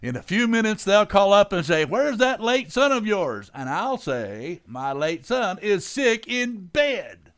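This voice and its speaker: A man's voice: sits high, big pitch swings, a little slow, fairly bright tone, heavily acted. A man playing a scheming, hapless, middle-aged working-class father in old-time radio comedy, blustering and easily flustered. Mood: conniving, reassuring. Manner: flustered, stammering, exclamatory. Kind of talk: 1940s radio comedy acting.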